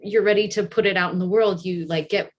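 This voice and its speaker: Enthusiastic woman, mid-pitched, informal. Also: steady tone, a little fast. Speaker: theater director and panel guest. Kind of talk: casual panel talk.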